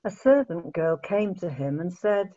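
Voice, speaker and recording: Woman narrating a bible story, slow and lowish, dull lo-fi audio. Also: lively intonation, mellow. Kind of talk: scripted scripture narration. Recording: muffled lo-fi home recording.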